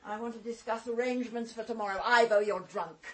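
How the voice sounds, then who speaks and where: A woman's voice: on the high side, fairly even intonation, quick pace, heavy background noise, natural voice. A woman playing an imperious, cutting actress in a radio drama, commanding and condescending, with an English accent. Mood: imperious, curt. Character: imperious, cutting actress used to giving orders. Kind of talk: British radio drama acting.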